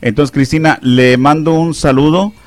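A man's voice: fairly high pitch, fairly even intonation, moderate pace, no echo. A man hosting a call-in radio show, chatting and teasing callers in a joking way. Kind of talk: casual call-in radio banter. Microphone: studio microphone.

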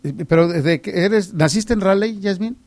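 High-voiced playful radio host, male. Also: moderate pace, darkish tone. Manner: playful, teasing, repeats phrases. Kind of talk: casual live radio giveaway chat with callers.

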